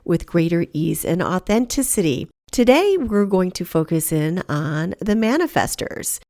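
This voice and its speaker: Woman podcast host, slightly low voice, sniffly with a cold, earnest and enthusiastic. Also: animated, unhurried, a little mellow, no room sound, studio quality. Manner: earnest, enthusiastic, with a few fillers and restarts. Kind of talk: read introduction, then casual interview chat.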